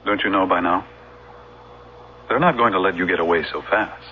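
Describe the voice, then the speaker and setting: A man's voice: low voice, normal speed, husky. An actor playing a laconic, slyly ominous man who entertains at a resort, terse and knowing, in a radio drama. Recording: muffled noisy old radio recording.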